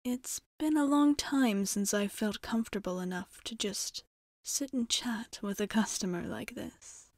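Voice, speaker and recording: A woman playing a courteous, guarded vampire bartender in an ASMR roleplay, speaking in soft, measured, formal phrases. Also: a little high, no echo, clean studio sound. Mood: musing, warm. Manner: polite, measured, formal, often dropping into near-whisper.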